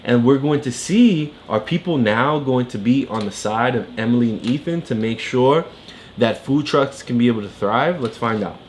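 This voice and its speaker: A man's voice: mid-range voice, expressive, moderate pace. Man tutoring, faint background noise. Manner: explanatory, prompting with questions and short affirmations. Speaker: reading tutor. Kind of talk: casual explaining during a read-along lesson.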